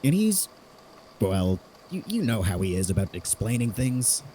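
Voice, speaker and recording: A man's voice: fairly low pitch, very animated, a little slow, dark tone, a little hiss or hum. A man playing a curious, analytical theorist in an audio drama, conversational and inquisitive. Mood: intrigued.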